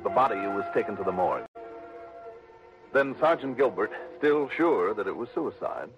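An actor playing a dry, probing insurance investigator who also narrates, in a 1960s radio drama. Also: male voice, lowish voice, lively intonation, normal speed, music in the background. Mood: narrating. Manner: dry, needling questions. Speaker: narrator and lead detective. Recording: dull lo-fi old radio broadcast.

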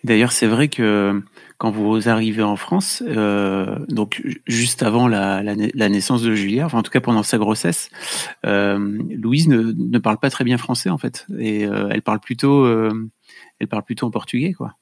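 A man's voice: lowish voice, normal speed, creaky, no echo, studio quality. A man hosting an interview, asking friendly follow-up questions with some hesitations. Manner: friendly, probing questions with fillers. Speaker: interviewer, podcast host. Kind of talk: conversational interview.

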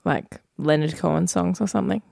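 A woman from Australia co-hosts a podcast with a nasal voice from a cold. Her talk is wry and joking, full of quick asides. The sound is dry and close. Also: lowish voice, vocal fry, dark tone, dry recording. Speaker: podcast co-host. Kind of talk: casual chat.

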